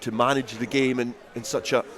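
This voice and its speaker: A man's voice: mid-range voice, level tone, brisk, fairly bright tone. A man giving live radio play-by-play rugby commentary from an outdoor ground.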